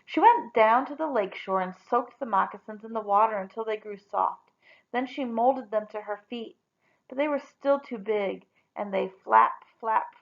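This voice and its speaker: A woman reading a story aloud expressively, with deliberate, dramatic emphasis on key words. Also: medium pitch, gravelly, highs cut off.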